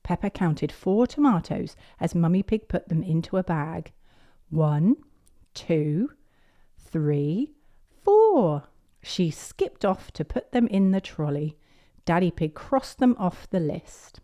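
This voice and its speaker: A woman reading a picture book aloud to young children in an expressive storytelling manner. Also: on the low side, unhurried. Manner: expressive, clearly paced delivery of picture-book narration.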